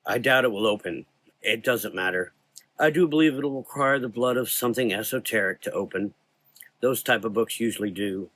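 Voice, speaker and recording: A man playing a weary, learned and brooding occult hunter, speaking in a measured, formal, ominous way in a gothic horror audio drama. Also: lowish voice, a bit bright. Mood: weary, quiet.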